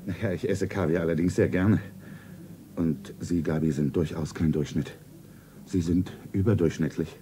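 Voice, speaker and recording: An actor playing a rich, worldly suitor in a radio play, suave, courtly and romantically earnest. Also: male voice, low-pitched, fairly even intonation, normal speed, warm tone, lightly acted. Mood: courtly, solemn. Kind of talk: 1970s German radio play acting. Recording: noisy 1970s radio play recording.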